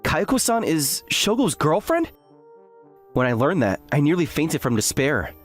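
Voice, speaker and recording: The hapless, aggrieved student hero narrating an animated campus tale, acted by a man, voice rising and falling over quiet music. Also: medium pitch, brisk, lightly acted. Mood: despairing. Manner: aggrieved, self-deprecating. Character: earnest, hapless male graduate student telling his story. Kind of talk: first-person scripted narration with acted dialogue.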